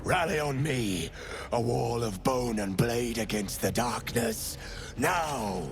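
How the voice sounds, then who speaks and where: An actor playing a menacing, domineering villain in a dark fantasy video game, with an imperious manner. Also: male voice, medium pitch, lively intonation, takes it slowly, noisy background. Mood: rallying command.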